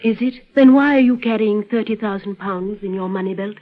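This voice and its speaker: An actress in an old radio drama playing a young, dutiful but quietly defiant European daughter, earnest and careful. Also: female voice, mid-range voice, fairly quick, natural voice. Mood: cold, defiant. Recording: tinny, muddy vintage radio recording.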